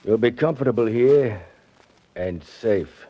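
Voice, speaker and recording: An actor playing a shady flophouse desk clerk with smug, mock-courteous manners in a 1940s radio crime drama. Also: male voice, medium pitch, animated, slow pace. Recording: muffled vintage 1940s radio recording.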